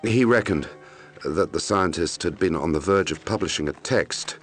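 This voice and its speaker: A man acting as an investigator who narrates his grim logbook in a measured, brooding way for a mystery game, in a gravelly voice. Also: lightly acted. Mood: grave, recounting. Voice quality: very deep, gravelly. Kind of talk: scripted first-person narration for a mystery game. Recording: music under the voice. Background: music.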